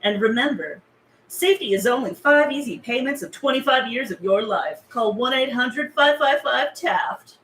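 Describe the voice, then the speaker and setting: A woman's voice: on the high side, lively intonation, moderate pace, fairly bright tone, echoey room, a little hiss or hum, voice pushed up, low-quality recording. A woman playing a motherly female radio preacher with a California teen lilt, in a script read. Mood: tender, salesy. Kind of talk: table read of a film script.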